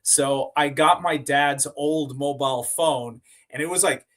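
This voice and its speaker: A man from Sweden, a company co-founder, telling stories as a podcast guest in a relaxed, rambling way, with many fillers and restarted words. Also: a little high, measured pace. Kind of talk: casual interview storytelling.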